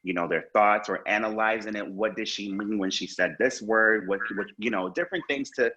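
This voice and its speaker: A man's voice: lowish voice, moderate pace, pushed and bright. A man who teaches the arts, talking earnestly as a podcast guest and filling his speech with "you know.". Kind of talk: casual conversation. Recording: dull lo-fi sound.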